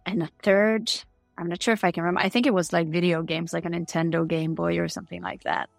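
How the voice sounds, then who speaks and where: A woman's voice: fairly low pitch, little pitch movement, quick pace, vocal fry, no room sound, faint music behind. A woman from Norway with a Norwegian accent, answering interview questions in a candid, chatty way. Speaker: interview guest.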